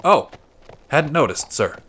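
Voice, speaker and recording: A man's voice: a little high, very animated, takes it slowly, fairly bright tone, husky, pitched above the performer's own voice, heavily acted. A man voicing a nervous, deferential young lieutenant in a war story, answering in short, hesitant phrases. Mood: uncertain.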